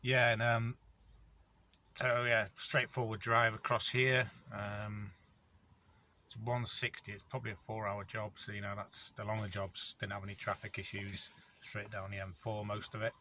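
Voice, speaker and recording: A man in his forties talks casually about his courier day, rambling along with plenty of ums. His voice sits a little low, yet the tone comes out bright and forceful. A faint hum of ambience is heard behind him. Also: little pitch movement, moderate pace. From North Wales. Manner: rambling, matter-of-fact storytelling with many ums. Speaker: van courier vlogger. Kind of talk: casual vlog chat. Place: in or beside his van on an industrial estate.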